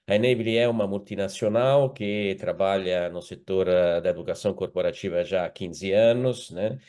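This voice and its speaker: Brief: Italian-accented man, lowish voice, formal webinar presenter on a video call. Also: normal speed, a little mellow. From Italy. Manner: formal, hesitant with fillers. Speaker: company executive guest.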